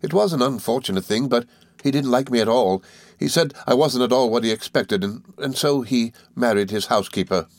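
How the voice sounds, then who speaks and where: A man voicing a cheerful, good-natured young gentleman law student in an audiobook, eager and flustered, with little stammering hesitations. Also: mid-range voice, a little fast, no echo, voice pushed up, natural voice. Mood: flustered, mild. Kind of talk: audiobook character dialogue.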